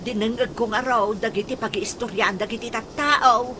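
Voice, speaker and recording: A woman's voice: on the high side, moderate pace, background noise, natural voice. An actress playing a chatty, exclamatory village woman in an Ilocano radio comedy drama. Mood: worried, excited. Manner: exclamatory, expressive.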